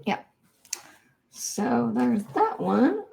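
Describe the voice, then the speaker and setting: A young woman from Kansas hosting a casual livestream, reading viewer comments and answering in a soft, hesitant way with many ums and likes. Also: on the high side, sing-song, extremely slow, vocal fry. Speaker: livestream host.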